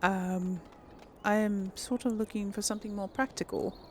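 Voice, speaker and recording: A woman's voice: medium pitch, steady tone, moderate pace, a little hiss or hum. A woman giving casual role-play game commentary, hesitant and thinking aloud as she goes. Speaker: gaming video host.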